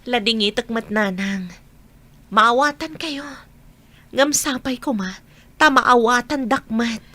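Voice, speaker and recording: A woman's voice: a little high, slow, bright, forceful tone, no room sound, heavily acted. A woman playing a hurt, guarded young mother in an Ilocano audio drama, reserved in manner.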